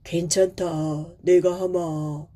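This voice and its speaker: A woman playing a kindly, mellow village father. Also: on the low side, flat delivery, extremely slow. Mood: gentle, reassuring. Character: kindly middle-aged village father, gentle and proud.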